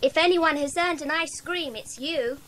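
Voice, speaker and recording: Woman as a high-voiced, kindly child. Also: normal speed, lightly acted. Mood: cheerful, generous. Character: kindly, solemn, generous child club member. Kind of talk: dramatised children's audiobook acting. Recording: faint background noise.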